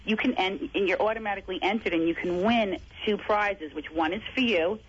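A woman's voice: mid-range voice, brisk, highs cut off, slight background noise. A woman actress chatting as a guest in a casual interview, full of fillers like "you know" and "like.". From first-generation American, family from Latvia.

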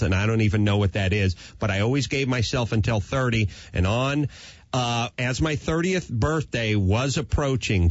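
A middle-aged man hosting a podcast, telling his own story in an animated, self-deprecating way. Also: mid-range voice, dry recording. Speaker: podcast host, comedian. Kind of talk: casual podcast chat. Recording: slightly dull, dry podcast audio.

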